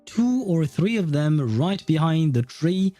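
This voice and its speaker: A man's voice: fairly high pitch, very animated, takes it slowly, warm tone, faint music behind. A man livestreaming a video game, chatting casually and in a friendly, rambling way as he comments and reads dialogue aloud. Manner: chuckles often, rambling and friendly.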